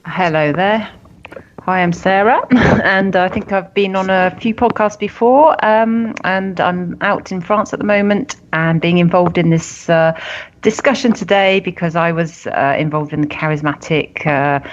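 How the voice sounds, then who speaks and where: A woman's voice: on the low side, unhurried, lo-fi sound, a little hiss or hum. A middle-aged woman introducing herself briefly and in a friendly way as a podcast guest. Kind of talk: casual panel chat.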